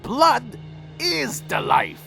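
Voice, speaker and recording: Ancient vampire clan lord for fantasy audio drama: proud, brooding, contemptuous, higher-pitched, very slow delivery. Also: male voice, wildly swooping pitch, gravelly, heavily acted. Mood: imperious. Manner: slow, theatrical, brooding and menacing. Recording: faint music under the voice.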